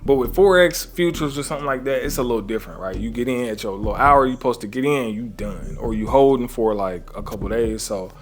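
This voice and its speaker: A man's voice: medium pitch, quick pace, few pauses. A man coaching traders in a motivational talk, earnest and conversational. He preaches gratitude, refers to his faith and often checks in with "right?". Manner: conversational, often adds "right?" and "you know what I'm saying?". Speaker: trading coach.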